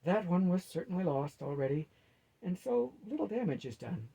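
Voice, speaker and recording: Guy reading stern religious lady from a storybook, formal and preachy, slightly husky. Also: high voice, sing-song, normal speed, mellow, exaggerated voice, low-quality recording. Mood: grave. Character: pious, stern holy woman with a dark side.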